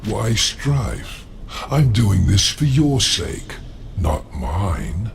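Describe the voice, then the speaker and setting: An actor playing a proud, scheming demon lord in a video game, speaking with gravelly, imperious menace. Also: male voice, very animated, a little hiss or hum, heavily acted. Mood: cool, commanding. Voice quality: gravelly, low. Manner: imperious, deliberate, silky menace. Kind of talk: video game cutscene acting.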